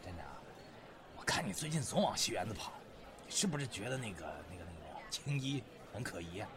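A man's voice: fairly low pitch, big pitch swings, brisk. A man playing a crude, swaggering secret agent who needles and menaces, in a radio drama. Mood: probing, sly. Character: coarse, menacing male Republican-era secret agent. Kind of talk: Chinese radio drama acting. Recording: busy crowd noise behind.

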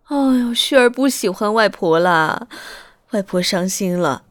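Doting old grandma, acted by a woman, mid-pitched, coaxing, takes her time, period radio drama. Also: expressive, dry recording, natural voice. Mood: mock hurt, coaxing. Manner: coaxing, unhurried. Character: doting grandmother in ancient China. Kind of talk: period audio drama acting.

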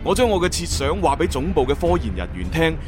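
A man's voice: a little high, very animated, quick pace, over music. A man with a Hong Kong Cantonese accent acting a boastful, crafty senior agent from the future in a sci-fi radio drama. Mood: boastful, animated.

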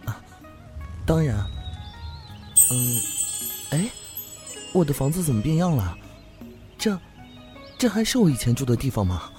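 A man's voice: mid-range voice, big pitch swings, unhurried, long pauses, faint music behind. An actor playing a cheerful, good-hearted young man who chats eagerly and laughs easily in an audio drama. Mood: flustered. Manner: bright, chatty, laughs easily.

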